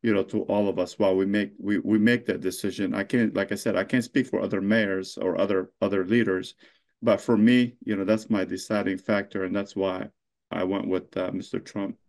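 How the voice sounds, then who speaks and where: A middle-aged man born in Lebanon, a mayor answering interview questions in a halting way, full of fillers and restarts. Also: sits low, fairly even intonation, darkish tone.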